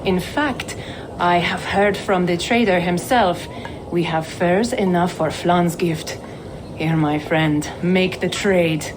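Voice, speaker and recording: A shrewd woman merchant from a Viking-age game, speaks in a lowish register. She is businesslike and dryly teasing, with clear ambient noise around her. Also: slow. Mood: businesslike, pleased. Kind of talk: video game cutscene acting.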